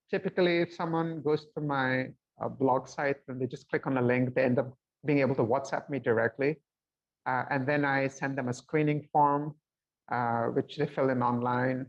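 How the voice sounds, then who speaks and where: Man in his 50s chatting on a video call from home, thoughtful, bit dull lo-fi sound. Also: medium pitch. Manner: reflective, gestures a lot while talking. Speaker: guest. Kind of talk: casual video-call conversation. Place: home room with bookshelves. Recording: slightly dull lo-fi call audio.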